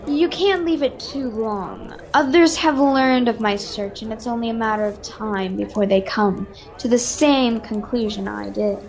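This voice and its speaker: A female performer playing a brisk, secretive noblewoman in a radio-style adventure serial. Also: a little high, a little mellow. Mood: measured. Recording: video call with background music.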